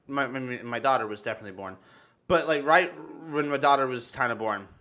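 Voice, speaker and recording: A man chatting casually and telling personal stories, halting and repeating words, swearing freely. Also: mid-range voice, pushed and bright. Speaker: livestream talk show host. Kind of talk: casual chat, personal storytelling.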